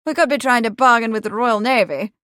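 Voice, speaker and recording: A woman voicing a brash, genial young upper-class man in an audiobook, talking emphatically in old-fashioned gentlemanly slang. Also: a little high, a little fast, dry recording, studio quality, natural voice. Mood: cheerful, unruffled.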